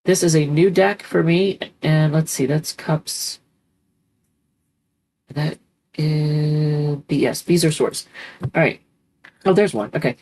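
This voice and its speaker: A woman's voice: deep voice, slow pace, long pauses, mellow. A woman with a congested voice from a cold, chatting loosely and rambling as she reads tarot cards. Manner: rambling, chatty, self-correcting with many ums. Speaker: tarot reader. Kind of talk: casual tarot reading.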